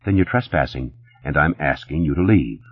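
A man's voice: extremely low pitch, animated, moderate pace, husky, no room sound, muffled recording, full character voice. A man voicing a firm, unyielding doorman with a curt, official manner. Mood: firm, warning.

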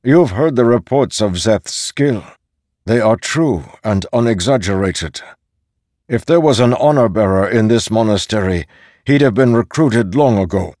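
Male narrator as elderly fantasy commander: impatient, peremptory, raised pitch, lively inflection. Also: measured pace, no echo, clean studio sound. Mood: decisive. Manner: forceful, peremptory.

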